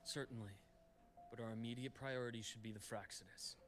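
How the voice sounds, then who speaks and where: An actor playing a calm, caring male doctor with a measured, reassuring way of speaking. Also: mid-range voice, close to an everyday voice. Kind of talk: game voice acting. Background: game music.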